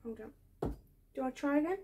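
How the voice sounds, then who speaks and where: A woman in her twenties speaking softly in an ASMR medical roleplay as an ear doctor, hesitant and reassuring. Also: high-pitched, lively intonation, a little fast, lo-fi sound, background noise. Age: 20s. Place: small bedroom, indoors.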